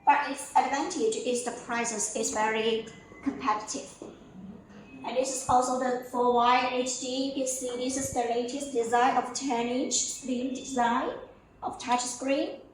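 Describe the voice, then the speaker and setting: A woman giving a spoken product walkthrough as a sales representative, in simple, halting phrases with repetitions. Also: high-pitched, steady tone. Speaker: company sales representative giving a showroom tour. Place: indoor product showroom. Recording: echoey room, distant mic, faint noise.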